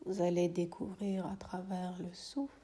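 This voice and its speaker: A woman teaching yoga nidra, guiding a meditation in a soothing, hushed, breathy delivery that often drops to a near whisper, with long pauses. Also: lowish voice, flat delivery. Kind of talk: guided meditation.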